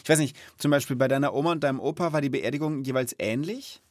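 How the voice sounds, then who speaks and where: A man's voice: medium pitch, quick pace, no echo, studio quality. A man hosting a children's podcast, talking and asking questions in a conversational way. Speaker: podcast host.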